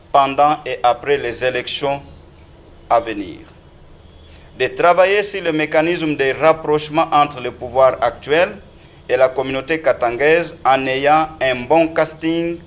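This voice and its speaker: A man formally reading a list of recommendations in a measured, declamatory way. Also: a little high. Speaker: civil society spokesman.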